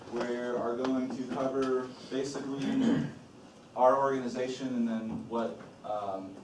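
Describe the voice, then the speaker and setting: A man's voice: medium pitch, noisy background. Roomy-sounding man leading an orientation. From Illinois. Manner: relaxed, loose delivery with frequent "uh" and "um". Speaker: law review editor-in-chief leading an orientation. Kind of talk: casual spoken orientation talk. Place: meeting room with a group of students. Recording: roomy echo, voice from a distance.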